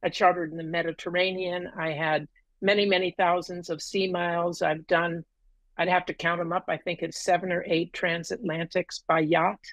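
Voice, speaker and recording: Middle-aged woman on a video call, lower voice, dry sound, lots of ums. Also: little pitch movement, moderate pace, no echo. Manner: talkative storyteller, many ums and uhs. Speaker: guest. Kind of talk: casual interview. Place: room at home, green wall.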